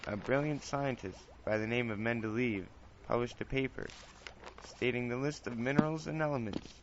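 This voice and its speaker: A man's voice: mid-range voice, moderate pace, slight background noise, natural voice. A man playing a chatty apprentice wizard who explains his studies in a theatrical fantasy podcast. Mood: earnest, explaining. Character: young wizard's apprentice, chatty, grumbling, eager amateur scholar. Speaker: host. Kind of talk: scripted fantasy podcast monologue and narration. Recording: dull lo-fi home recording, loud music. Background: music.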